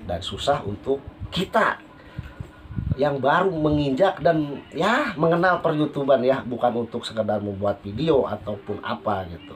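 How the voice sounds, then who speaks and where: A man's voice: mid-range voice, expressive, a little slow. A man hosting a casual podcast interview in a polite manner, recorded as an amateur. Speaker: podcast host and beginner YouTuber. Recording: noisy amateur phone recording.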